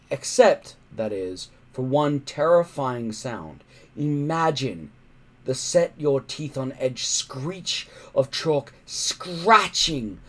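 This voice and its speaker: Slow, expressive male storybook reader. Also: mid-range voice. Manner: suspenseful, emphatic, with pauses. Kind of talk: dramatic read-aloud narration of a children's adventure.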